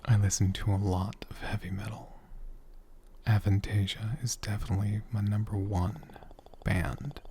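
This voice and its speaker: A man speaking softly and gently in an ASMR style, breathy and often whispering, rambling unhurriedly as he answers questions off the cuff. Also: fairly low pitch, little pitch movement, normal speed. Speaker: ASMR creator and channel host. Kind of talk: soft-spoken ASMR, unscripted question-and-answer.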